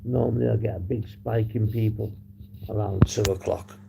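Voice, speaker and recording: A man hosting a casual livestream, hoarse and husky, rambling and swearing as he reads the chat. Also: lowish voice, fairly even intonation, normal speed. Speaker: livestream host. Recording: noisy background hum.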